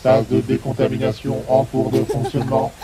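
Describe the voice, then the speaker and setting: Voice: medium pitch, moderate pace, a loud crowd, close to an everyday voice, low-quality recording. A performer voicing an impassive station computer that makes procedural announcements in a French audio drama. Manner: flat, procedural, impersonal.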